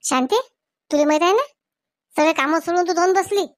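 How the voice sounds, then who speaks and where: A woman's voice: extremely high pitch, brisk, dry recording, clean studio sound. A woman voicing an anxious, scolding village husband in a comedy cartoon, nagging and questioning.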